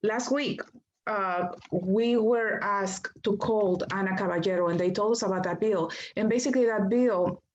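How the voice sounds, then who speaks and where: A woman with a Spanish accent, a native Spanish speaker, calling in to a talk show and speaking haltingly with many restarts. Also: medium pitch. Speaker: caller. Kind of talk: casual call-in chat. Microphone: phone line. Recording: dull lo-fi phone call.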